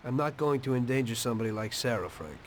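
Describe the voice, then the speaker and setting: A troubled mobster with a middling pitch, played by a man. He sounds worn down by the life he is in. It is the voice of a crime video game's haunted lead. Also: expressive, fast, a little hiss or hum, lightly acted. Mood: troubled, doubtful. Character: weary, troubled male gangster, former taxi driver. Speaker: narrator and character in a crime video game. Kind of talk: confessional narration and dramatic game dialogue.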